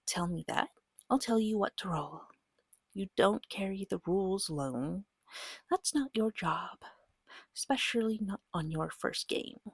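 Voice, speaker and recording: Breathy, soft-spoken woman in close-up ASMR. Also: medium pitch, expressive, dry recording. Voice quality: breathy, often slipping into whisper. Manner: gentle, patient, reassuring, slow and deliberate. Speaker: game master and ASMR host. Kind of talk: soft-spoken ASMR guide to a tabletop game. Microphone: close microphone.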